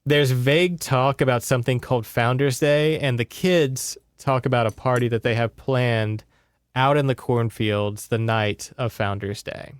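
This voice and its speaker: A man's voice: medium pitch, steady tone, unhurried, clear, resonant tone, no room sound, clean studio sound. A man co-hosting a podcast, recapping a book in a rambling, conversational way with sardonic asides. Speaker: podcast co-host. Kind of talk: casual book recap chat.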